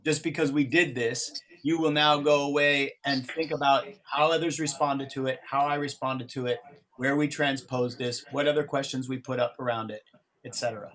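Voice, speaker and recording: A man's voice: mid-range voice, steady tone, moderate pace, fairly bright tone, low-quality recording. A man facilitating an interactive improv exercise over a video call, explaining it step by step in an encouraging way. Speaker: workshop facilitator. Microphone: video call microphone.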